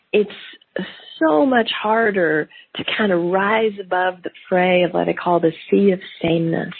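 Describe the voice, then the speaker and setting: A middle-aged woman with a slight cold and a gravelly voice hosts a solo business podcast, talking in an animated way and acting out quotes in lively voices. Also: mid-range voice. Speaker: podcast host. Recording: phone-like narrow band, dry.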